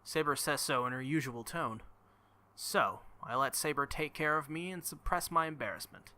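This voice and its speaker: A man's voice: fairly high pitch, normal speed, sharp tone. A man reading a visual novel aloud as a gaming creator, expressively, with casual joking asides.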